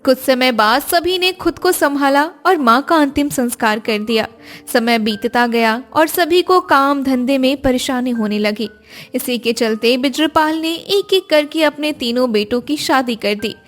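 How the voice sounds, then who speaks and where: High-voiced woman narrating a cartoon story. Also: a little slow, a bit bright, soft background music.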